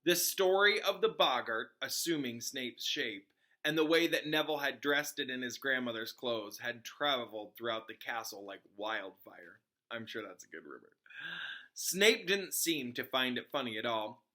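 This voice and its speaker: A man's voice: mid-range voice, expressive, sharp tone. A man who is a teacher, reading a children's novel aloud in an informal way with casual explanatory asides. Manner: informal, pauses to explain to listeners.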